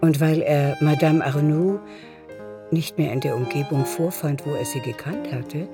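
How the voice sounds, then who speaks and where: A man tells a literary story as the narrator of a radio drama. His voice sits somewhat high for a man, and music plays clearly behind it. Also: unhurried.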